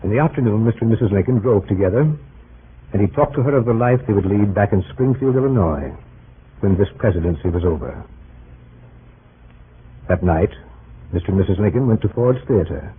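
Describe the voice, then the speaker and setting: A man narrating an old-time historical radio drama in a grave, measured storytelling manner. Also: fairly low pitch, expressive, close to an everyday voice. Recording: noisy, tinny old radio recording.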